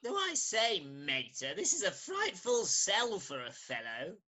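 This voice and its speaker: Bright, cheeky youth, played by a woman. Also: mid-range voice, wildly swooping pitch, measured pace, heavily acted. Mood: put out. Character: Cis, cheeky, unruly posh Victorian youth of nineteen. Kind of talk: comic Victorian stage play reading.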